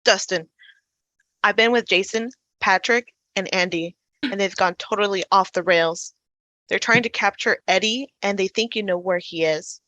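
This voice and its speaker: A woman's voice: mid-range voice, moderate pace, bright, forceful tone, no echo, lo-fi sound, natural voice. A woman acting an earnest, anxious teenage boy in a dramatic table read over a video call. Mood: breathless, alarmed.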